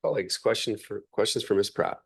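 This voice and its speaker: A man with a low, deep voice chairs a city council meeting. He moves through motions and votes in a measured, slightly halting way. His voice is going, so he keeps clearing his throat. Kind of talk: formal meeting procedure.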